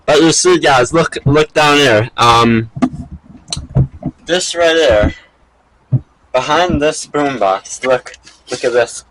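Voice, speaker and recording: A man hosting a goofy comedy vlog, raspy from a cold, talking hesitantly with many ums and restarts. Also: medium pitch, slow pace, long pauses, fairly bright tone, a little hiss or hum, rough recording. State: has a cold. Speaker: vlog host.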